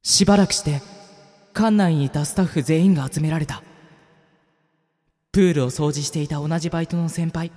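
A man's voice: a little high, brisk, pauses often, dark tone, long reverb tail, soft background music, natural voice. A man playing a timid young part-time gym worker who also narrates the radio drama. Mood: tense narration. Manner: timid, startled. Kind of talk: scripted first-person radio drama narration.

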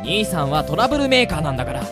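Woman voicing young male mage, earnest younger brother, polite but chiding, animated intonation, radio drama with music underneath. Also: sits low, racing. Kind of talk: Japanese fantasy radio drama acting.

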